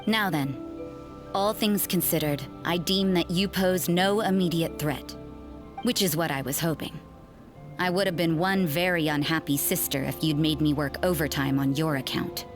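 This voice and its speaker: A woman playing a wary, sardonic nun investigator in an anime fantasy video game, speaking in a cool, dry, deliberate way. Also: low-pitched, steady tone, over music, close to an everyday voice. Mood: dry, appraising.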